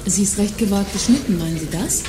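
An actress playing a prim, insecure and gullible shop customer in an audio drama, with a formal, earnest manner. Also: female voice, medium pitch, expressive, quick pace, background noise, close to an everyday voice. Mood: coy. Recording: echoey room with music behind.

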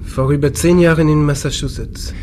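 Casting: male actor as doubting ship's crewman, soft dark tone, matter-of-fact, ambient noise behind, sci-fi drama. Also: on the high side, fairly even intonation, normal speed, close to an everyday voice. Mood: sceptical. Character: sceptical male crewmate of a sect leader. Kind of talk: science fiction radio play acting.

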